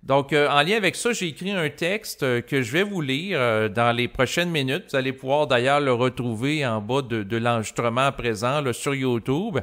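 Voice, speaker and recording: A man from Quebec with a Québécois French accent, speaking an unscripted reflective monologue to listeners in a halting, roundabout way with many hesitations. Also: mid-range voice, fairly bright tone. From Quebec, Canada. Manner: halting, roundabout, with frequent hesitations and long lead-ins. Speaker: host of spiritual self-help recordings.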